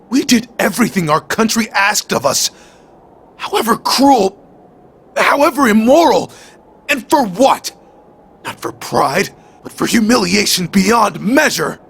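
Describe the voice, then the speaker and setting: An actor playing a bitter, fanatical soldier villain in a video game, with a theatrical, ranting delivery. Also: male voice, high-pitched, creaky, a bit bright, husky, heavily acted.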